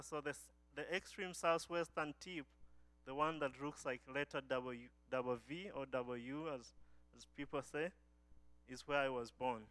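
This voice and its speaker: Bright, clear-voiced man from Uganda. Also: fairly high pitch, no echo. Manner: earnest, with frequent restarts. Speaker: guest speaker. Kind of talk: church testimony talk. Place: church.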